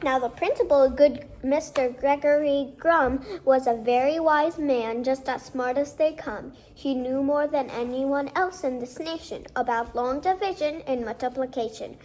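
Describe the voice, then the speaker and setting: High-voiced woman reading a storybook. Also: measured pace, few pauses, darkish tone, a little hiss or hum, rough recording. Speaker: children's book reader. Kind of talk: storybook read-aloud.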